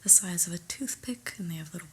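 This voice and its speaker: A woman's voice: on the low side, quick pace, slightly rough. Whispering, unimpressed office boss played by a woman. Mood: dry disapproval. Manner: deadpan, hesitant, politely condescending. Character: exasperated, prim new female office boss, dryly disapproving. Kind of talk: whispered ASMR roleplay.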